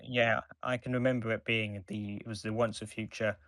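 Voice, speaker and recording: A man with a slightly low voice talks as a podcast co-host. His delivery is casual and conversational, and the recording is very dry, free of room sound. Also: little pitch movement, normal speed, a bit bright, no room sound. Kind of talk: casual chat about audio dramas.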